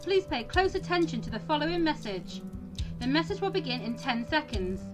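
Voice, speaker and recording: A woman's voice: sits high, big pitch swings, fairly quick, over music. A woman as a formal emergency broadcast announcer. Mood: formal, impersonal.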